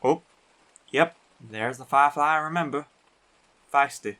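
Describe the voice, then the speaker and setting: A man playing a big, teasing, affectionate biker werewolf boyfriend in an intimate roleplay audio, talking in an unhurried, colloquial way. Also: on the high side, pushed and bright. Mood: playful teasing.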